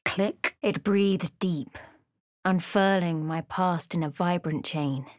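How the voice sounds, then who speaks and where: A woman playing a wry, watchful adventurer in a video game, telling of events in a measured, dramatic way. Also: fairly low pitch, no echo, clean studio sound. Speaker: game character voice actor. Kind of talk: video game voice lines, narration and barks.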